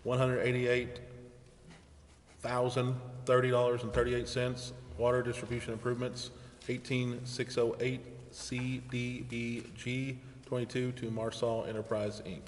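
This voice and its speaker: A man's voice: mid-range voice, completely flat delivery, measured pace. A man chairing a city council meeting, formally reading out agenda items and procedure. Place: large indoor council chamber. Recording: echoey hall, audible reverb, faint noise.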